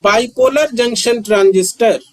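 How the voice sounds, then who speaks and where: A man, lecturing on physics and repeating key terms with frequent pauses. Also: very high voice. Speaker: physics teacher. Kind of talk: slow, repetitive classroom lecture.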